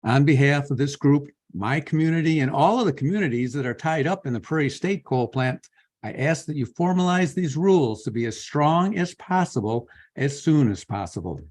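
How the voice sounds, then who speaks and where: A man's voice: fairly high pitch, lively intonation, darkish tone. Man giving earnest public testimony. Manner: earnest, measured, spells out his name slowly. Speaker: public commenter. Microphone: video call.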